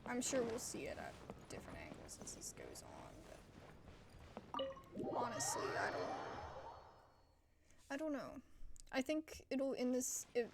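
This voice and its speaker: A woman's voice: a little high, huge pitch swings, measured pace, vocal fry, pauses often. A woman game streamer giving casual, chatty commentary, thinking aloud informally over faint background noise. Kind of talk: casual let's-play commentary.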